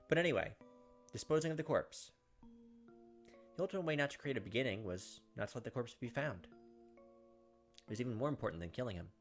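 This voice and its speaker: A man's voice: mid-range voice, fairly bright tone. A man hosting a playthrough, reading a visual novel aloud as emphatic dramatic narration with casual asides. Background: game music.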